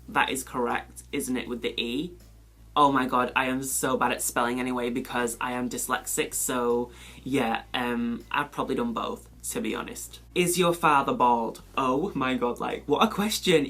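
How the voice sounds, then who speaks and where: Chatty Mancunian young man, rambling. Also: a bit bright. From England. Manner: chatty, rambling, full of "like" and "you know"; pitch leaps up sharply when exclaiming. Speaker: beauty vlogger. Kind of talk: casual chat answering a question tag.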